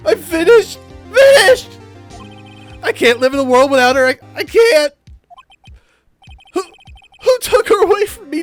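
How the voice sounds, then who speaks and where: A performer voicing a panicked, melodramatic young male defendant in a video game, wailing and shouting in despair. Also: very high voice, pitched above the performer's own voice, exaggerated voice.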